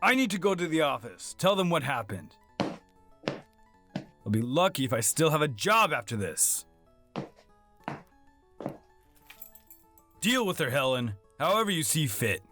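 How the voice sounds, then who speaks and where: A man's voice: fairly high pitch, very animated, normal speed, a bit bright, faint music behind. A man playing an angry, distraught father in a horror radio drama, scolding with dramatic exasperation. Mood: weary, resigned.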